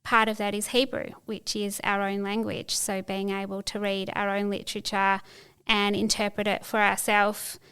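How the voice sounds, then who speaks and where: Middle-aged woman, interview guest, very clean smooth voice, fairly flat delivery, thoughtful with restarts. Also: medium pitch, normal speed. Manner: thoughtful, searching for words with frequent restarts. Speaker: podcast guest. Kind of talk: reflective interview conversation.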